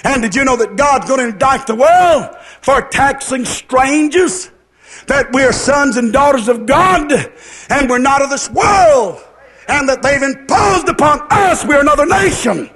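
A man's voice: very high voice, lively intonation, a little slow, fairly bright tone, poor audio. A man preaching a fervent revivalist sermon in a declamatory, sing-song cadence, repeating phrases and putting rhetorical questions to his listeners.

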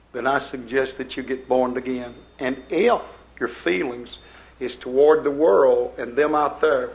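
Gravelly old preacher. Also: male voice, mid-range voice, a bit bright, highs cut off. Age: older. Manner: folksy, rambling preaching with repetition. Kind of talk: sermon. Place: church service before a congregation.

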